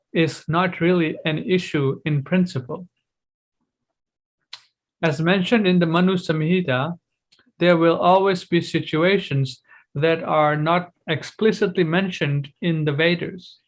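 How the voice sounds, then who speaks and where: A man hosting a live online discussion, reading a post aloud steadily and giving short replies. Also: on the high side. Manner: brief agreeing replies, then reads text aloud steadily. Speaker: host. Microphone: livestream.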